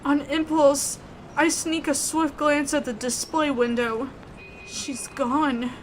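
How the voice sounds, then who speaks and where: A woman narrating a horror visual novel in the first person, reading with expressive, suspenseful delivery. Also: high-pitched, slow, slight background noise, low-quality recording.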